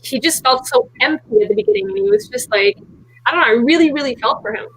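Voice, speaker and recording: A woman's voice: high-pitched, big pitch swings, fast talker, creaky, a bit bright, low-quality recording. A woman guest on a live online book panel, speaking heartfelt and saying "you know" often. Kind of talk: casual book discussion.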